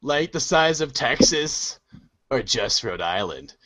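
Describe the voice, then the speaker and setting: A man's voice: mid-range voice, sing-song, slow pace, pushed and bright, no echo, full character voice. An actor playing a sardonic, rambling young man in a comic storybook reading, sarcastically acting out mock dialogue. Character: sardonic, rambling young guy mocking disaster-film clichés. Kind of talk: comic monologue in a read-along storybook show.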